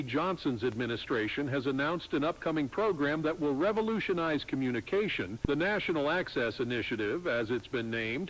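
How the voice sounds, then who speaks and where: A 1960s news announcer, played by a man, reads in a formal, upbeat and measured way. His voice sits on the higher side. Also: poor audio. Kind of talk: 1960s broadcast news reading.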